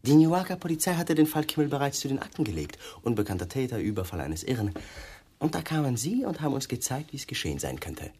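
Needling detective, restlessly swooping voice. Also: male voice, mid-range voice, big pitch swings, fast, mellow, breathy edge. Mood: insinuating. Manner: insinuating, mock-polite, probing. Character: pushy, needling male police detective, slyly insinuating. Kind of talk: German radio crime drama acting.

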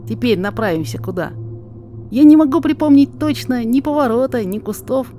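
A woman's voice: fairly high pitch, lively intonation, normal speed, warm tone, pitched above the performer's own voice, lightly acted. A woman voicing a flustered, apologetic male guide, anxious and polite, in a verse audio story. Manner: agitated, deferential, emphatic. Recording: music behind the voice.

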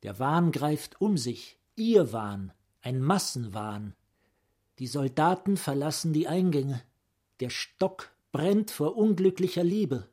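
An actor in a radio play playing a philosophical, probing psychiatrist with an eloquent, rhetorical manner. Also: male voice, a little high, very animated, takes it slowly, a little mellow, exaggerated voice. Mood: fervent, visionary. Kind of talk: radio drama acting, literary monologue.

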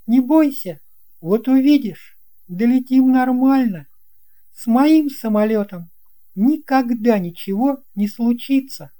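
A man's voice: very high-pitched, animated, slow, dark tone, voice pushed up, full character voice. A man voicing a confident, friendly young boy pilot in a storybook tale, assured and lightly teasing. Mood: reassuring.

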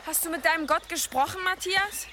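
A woman's voice: extremely high pitch, brisk. An actress in a German radio drama playing an earnest, practical young woman with a direct, sincere manner. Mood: earnest grief. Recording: clear ambient noise behind.

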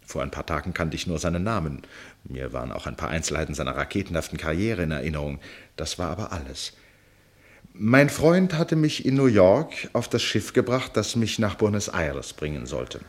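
An actor playing a curious, reflective gentleman traveller in a radio play, speaking in a musing, articulate manner. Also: deep voice, sing-song, moderate pace. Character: curious, reflective gentleman narrator, a ship passenger. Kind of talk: German literary radio play, narration and dialogue.

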